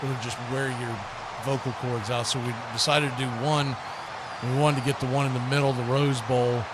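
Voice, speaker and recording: A man's voice: medium pitch, moderate pace. An American man giving live, unscripted football commentary as a stream host, in a folksy, conversational way. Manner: conversational, folksy, rises to excited shouts on big plays. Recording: clearly noisy live stream background.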